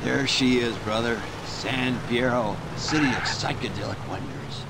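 An actor voicing a paranoid, stoned aging hippie in a video game, rambling in a spaced-out stoner drawl full of hippie slang. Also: male voice, mid-range voice, moderate pace, vocal fry, natural voice. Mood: dreamy. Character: paranoid, stoned aging hippie man, laid-back conspiracy theorist. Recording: loud vehicle noise behind the voice. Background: vehicle engine and road noise.

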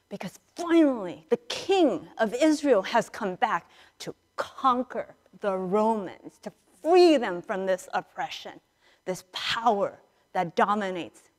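A middle-aged woman priest giving a conversational storytelling sermon in a large church, acting out dialogue with dramatic pauses. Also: mid-range voice. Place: large church sanctuary, indoors, standing and moving before the altar. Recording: reverberant church hall, picked up in a large space.

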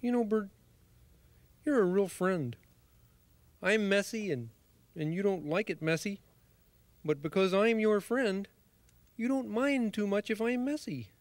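A man's voice: high voice, animated, unhurried, long pauses. A man voicing a cheerful, carefree male puppet roommate in a children's TV comedy, breezy and oblivious. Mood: hushed.

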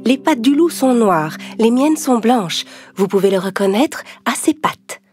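A woman voicing a caring mother goat giving soft, careful warnings. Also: a little high, animated, unhurried, over music, voice pushed up.

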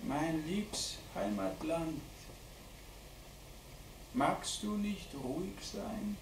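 A man giving a live dramatic poetry reading at a literary event, declaiming deliberately with strong shifts between hushed and loud delivery. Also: fairly high pitch, very animated. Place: indoor literary event venue at a theatre. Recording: roomy live hall recording, distant mic, faint noise.

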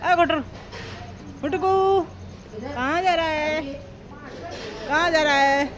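A woman's voice: high-pitched, slow pace, a bit bright. A woman in her thirties, a travel vlogger, recorded outdoors at night on a hand-held phone and talking playfully to a pigeon. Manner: coaxing, playful baby talk to a bird. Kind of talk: casual vlog narration and talking to a pigeon. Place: outdoors at night beside a parked tractor on a bus trip. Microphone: phone camera held by hand.

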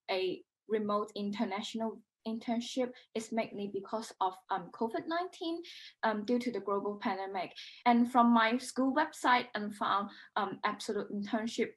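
A woman's voice: medium pitch, unhurried. Young woman on a video call, lots of ums, unsure. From Hong Kong. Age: young adult. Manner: hesitant, many ums. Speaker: intern.

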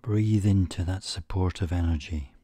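A man guiding a meditation in a soothing, measured way, with pauses between short phrases and a soft, breathy voice that trails toward a whisper. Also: sits low, no echo. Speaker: meditation guide. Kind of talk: guided meditation narration.

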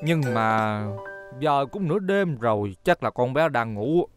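A man playing an animated elderly cartoon grandfather. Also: medium pitch, unhurried, natural voice. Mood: friendly, eager. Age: older. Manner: expressive, muttering, exclaiming to himself. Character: kindly, excitable elderly grandfather shopkeeper. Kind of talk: children's cartoon dub. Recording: music under the voice.

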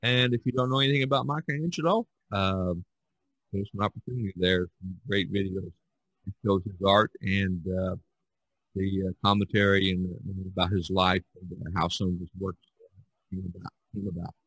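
A man hosting a casual podcast discussion in a halting, rambling way, often repeating "you know". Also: low voice, fairly even intonation, a bit bright, slightly rough, no room sound, rough recording. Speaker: podcast host.

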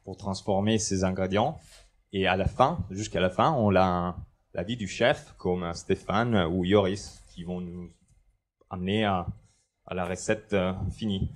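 Brief: man, 30s, lowish voice, easygoing hesitant conference presenter on a headset mic in a big hall. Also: unhurried. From Italy. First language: Italian. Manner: relaxed and joking, with many hesitations. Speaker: conference speaker. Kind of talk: presenting a technical talk. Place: large indoor conference hall, on stage.

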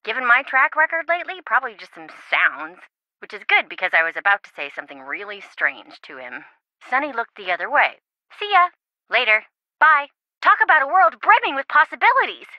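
A woman voicing a quirky, sarcastic, excitable young reality-show contestant in a video game, with playful theatrical quips. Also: fairly high pitch, brisk, dry recording, lo-fi sound. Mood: animated, quippy.

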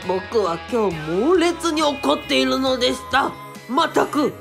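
A woman's voice: high-pitched, lively intonation, a little slow, gravelly, faint music behind, heavily acted. A woman playing a timid, self-pitying young male cult guru who stammers and blusters in a comic radio drama. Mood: flustered. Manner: stammering, whiny, swinging into blustering rants.

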